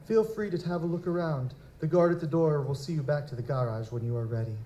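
Formal, touchy young aristocrat, male actor, higher soft-toned voice, precise courtly diction, audio drama, echoey noisy room. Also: fast, natural voice. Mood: polite, formal. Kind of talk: live audio drama acting. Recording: roomy, echoing, noisy live recording.

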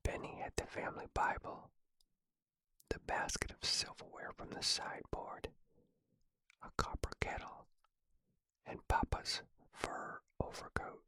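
A narrator whispers a storybook aloud, every word soft and breathy with no voice behind it. The pace is slow and unhurried, and the whisper sounds crisp and bright. The sound is dry and close to the mic, with no room around it. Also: no echo, low-quality recording. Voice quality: soft unvoiced whisper throughout. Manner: slow, even, hushed delivery. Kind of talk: whispered storybook reading, ASMR.

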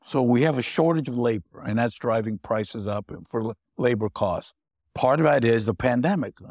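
A man's voice: mid-range voice, moderate pace, darkish tone, no echo, clean studio sound. A man speaking as an economist on a panel, explaining points and often restarting his phrases. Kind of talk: panel discussion.

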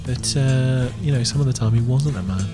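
A man's voice: mid-range voice, fairly quick, music in the background, lightly acted. A man playing a passive-aggressive hairdresser in an improvised comedy audio play, needling and pushy, repeating muttered reassurances. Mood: sly, insistent.

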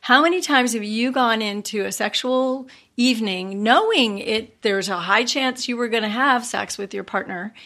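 A woman with a fairly high voice chats casually as a podcast co-host. Her delivery is giggly and flustered, in the loose style of informal banter. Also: moderate pace, few pauses, a bit bright.

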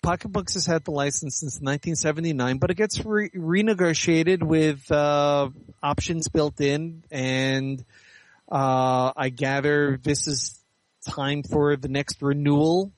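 A man's voice: fairly high pitch, steady tone, a little slow, no room sound, low-quality recording. A middle-aged or older man answering interview questions in a candid, articulate, explanatory way. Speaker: interview guest, science fiction author and editor.

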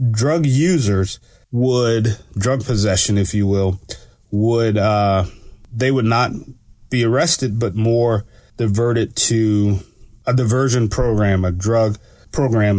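Low-voiced stuffy male radio host. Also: no room sound, clean studio sound. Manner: relaxed, rambling with many fillers. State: stuffy from allergies. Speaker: radio show host and law enforcement analyst. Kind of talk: casual solo radio talk.

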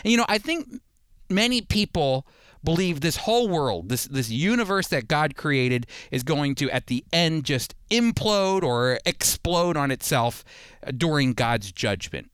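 A man's voice: fairly high pitch, sing-song, fairly bright tone, smooth, no echo, studio quality. A man giving prepared sermon-style Bible teaching, speaking emphatically as he explains and reads scripture. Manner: emphatic, with rhetorical questions and slowed scripture readings. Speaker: Bible teacher and program host.

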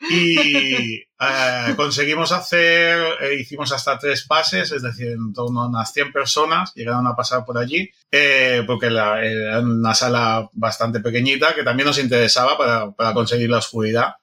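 Guy w/ spain accent, bright crisp voice, casual retelling, repeats himself a bit. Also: mid-range voice, normal speed, hardly any pauses. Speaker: podcast guest, event organizer. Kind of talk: casual conversational recounting.

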